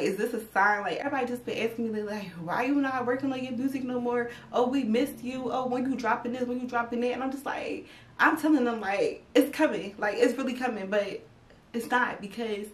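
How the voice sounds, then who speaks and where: A woman's voice: mid-range voice, slight background noise. Young woman vlogger, slightly stuffy, rambling casual chat, roomy distant mic. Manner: rambling, filler-heavy, talks straight to viewers. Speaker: YouTube vlogger.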